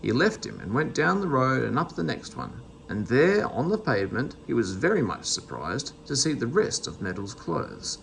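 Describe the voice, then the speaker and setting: A man's voice: mid-range voice, very animated, normal speed, vocal fry, hardly any pauses, fairly bright tone, a little hiss or hum, poor audio. A man reading a children's story aloud as narrator. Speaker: storybook reader.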